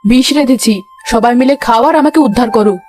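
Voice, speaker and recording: A woman's voice: on the high side, fast talker, lightly acted. A woman voicing a bossy, nosy, middle-aged mother-in-law in a cartoon, accusing and dramatic. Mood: bitter, accusing. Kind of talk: animated comedy story acting. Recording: loud music behind the voice. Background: music.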